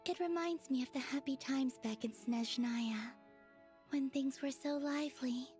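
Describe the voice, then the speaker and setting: A woman's voice: high voice, measured pace, darkish tone, faint music behind. A woman playing a serene, childlike and blunt young woman in an anime-style game, speaking plainly and guilelessly. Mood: friendly, content.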